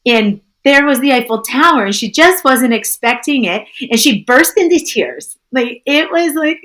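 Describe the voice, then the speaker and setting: A woman from Canada with a friendly, sing-songy voice, a guest telling lively stories in a casual interview and laughing often. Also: high-pitched, normal speed. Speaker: tour business owner, guest.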